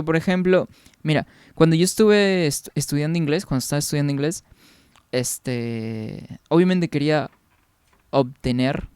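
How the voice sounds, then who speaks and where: A man's voice: fairly high pitch, a little mellow, clear, resonant tone, no echo. A young man from Peru, chatting casually with viewers during a game stream, rambling along and answering their comments.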